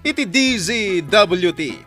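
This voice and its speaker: A man's voice: high-pitched, sing-song, takes it slowly, a bit bright, faint music behind. A man announcing scripted sign-off credits for a radio drama, declamatory, stretching out his emphasis on titles.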